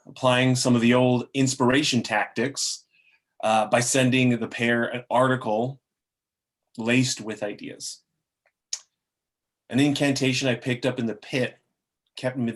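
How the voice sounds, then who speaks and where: A man's voice: mid-range voice, a bit bright. A man playing a bitter, scheming male demon and fallen muse in a script table read, speaking in theatrical, grandiose monologue with the odd stumble. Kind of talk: amateur table reading of a film script.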